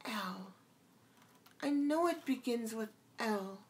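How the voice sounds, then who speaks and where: A man's voice: very high-pitched, animated, slow, pitched above the performer's own voice, heavily acted. A man putting on a timid lost little girl. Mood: wistful. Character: lost, puzzled young girl, gentle and polite.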